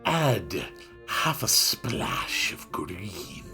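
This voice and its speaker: A man voicing a cryptic, exacting young woman painter in a slithery, breathy, slow voice, as in a visual novel reading. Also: on the low side, big pitch swings, pushed and bright, soft background music, pitched below the performer's own voice, heavily acted. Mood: terse, exacting. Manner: slow, terse, dreamy and cryptic.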